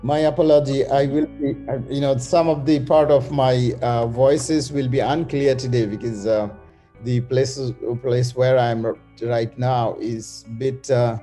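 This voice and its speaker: Mellow-voiced man, halting speech. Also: medium pitch, fairly even intonation, soft background music. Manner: halting, many filler sounds. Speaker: chairman. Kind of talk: opening remarks on a webinar. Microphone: video call.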